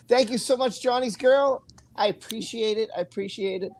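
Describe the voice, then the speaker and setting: A man's voice: high-pitched, a little slow, a little mellow. A man hosting a casual live stream, chatting and greeting viewers by name. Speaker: livestream host.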